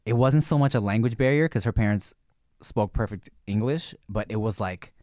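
A man's voice: lowish voice, moderate pace, a little mellow, no echo, clean studio sound. A man co-hosting a podcast, talking in a laid-back way, with lots of fillers and restarts. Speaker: podcast co-host. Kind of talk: casual podcast chat.

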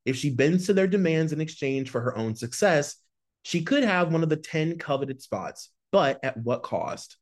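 A man's voice: a little high, big pitch swings, moderate pace. A man reading scripted narration to promote a podcast.

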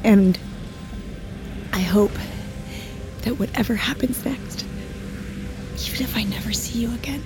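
An actress playing a troubled, self-blaming woman with a tender heart, speaking haltingly in a naturalistic audio drama. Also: on the high side. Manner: halting, faltering, self-correcting.

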